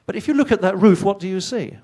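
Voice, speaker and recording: A middle-aged man from England with an English accent, not a native speaker of English, giving a lively, chatty science presentation to an audience with wry asides. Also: sits high, fast talker. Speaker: conference speaker.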